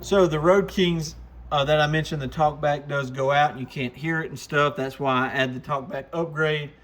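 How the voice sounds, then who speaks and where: A man's voice: on the high side, steady tone, moderate pace, fairly bright tone. A man chatting in an informal, folksy way as he gives a casual product demonstration like a shop presenter.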